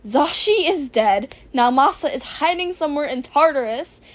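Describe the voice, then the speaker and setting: A woman's voice: high voice, lively intonation, moderate pace. A woman voicing an earnest, panicky male speedster hero in a fan fiction reading. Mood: flustered.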